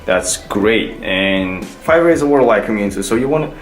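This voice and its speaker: Young man, low voice, loose rambling vlog delivery, roomy echo. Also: lively intonation, moderate pace. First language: Kazakh. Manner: rambling, hesitant, many fillers and restarts. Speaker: vlogger. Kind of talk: casual tutorial vlog. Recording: echoey room with faint music.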